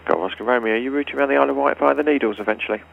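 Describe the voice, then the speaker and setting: A man's voice: mid-range voice, very fast, gravelly. A man working as an air traffic controller, giving clipped procedural instructions over aviation radio. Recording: muffled lo-fi radio with background noise.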